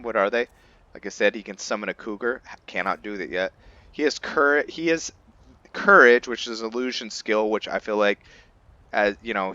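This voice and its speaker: A man giving casual let's play commentary on a fantasy role-playing game, halting as he thinks aloud. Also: fairly low pitch, pushed and bright. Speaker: gaming channel host narrating a playthrough.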